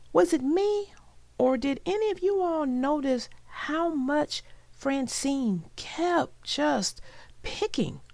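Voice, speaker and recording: A woman's voice: a little high, creaky, no echo. A woman reading aloud for children as a storyteller, chatting directly to her young listeners in a conversational way.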